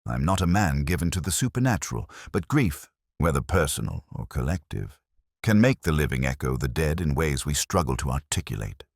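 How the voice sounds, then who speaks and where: Very deep-voiced male story narrator. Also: sing-song, creaky, breathy edge, no echo, studio quality. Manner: measured, literary, brooding. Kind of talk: scripted first-person detective story narration.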